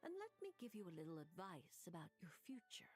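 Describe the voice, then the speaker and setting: A woman voicing an enigmatic, teasing fortune teller in a video game, with a sly, theatrical, unhurried delivery. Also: on the low side, huge pitch swings, darkish tone. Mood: coaxing, mysterious. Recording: game music behind. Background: game music.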